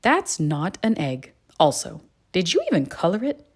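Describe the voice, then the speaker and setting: A woman's voice: fairly low pitch, huge pitch swings, moderate pace, husky, full character voice. A woman voicing a bossy, exasperated crayon in a children's storybook, indignant and full of questions. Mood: doubtful. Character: bossy, exasperated purple crayon correcting the others. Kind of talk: children's storybook read-aloud.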